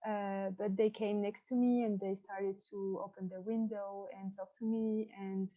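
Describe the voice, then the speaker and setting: A woman's voice: medium pitch, flat delivery, unhurried. A French woman in her twenties with a French accent, hesitantly telling a personal story on a podcast. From France. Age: 20s. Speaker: podcast participant. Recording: dull lo-fi microphone sound.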